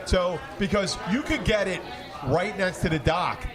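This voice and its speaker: A man chatting casually and telling stories in a halting way, often repeating his phrases. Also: high voice. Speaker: podcast host and bartender. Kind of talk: casual bar chat, storytelling. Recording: busy crowd noise, outdoor setting. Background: loud crowd chatter.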